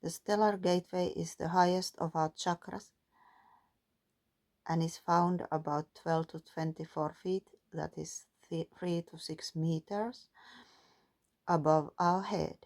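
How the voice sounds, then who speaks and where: A woman doing a calm, musing spiritual card reading, partly reading guidebook text aloud. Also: on the low side, unhurried. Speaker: tarot and oracle card reader.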